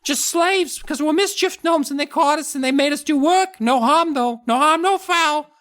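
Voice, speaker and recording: Woman voicing a shrill boastful gnome. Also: normal speed, fairly bright tone. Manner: sing-song, repeats himself, proud of mischief. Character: high-pitched boastful male mischief gnome.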